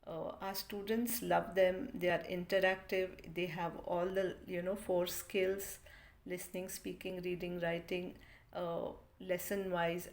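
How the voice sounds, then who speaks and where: A woman's voice: fairly low pitch, vocal fry. Appreciative woman French teacher. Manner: warm, appreciative, with frequent fillers. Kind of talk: recorded testimonial.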